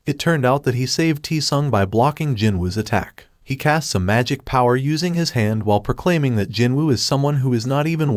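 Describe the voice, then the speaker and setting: A man's voice: mid-range voice, no echo, clean studio sound. A man reading a scripted story recap as a narrator, voicing quoted lines in his own narrating voice.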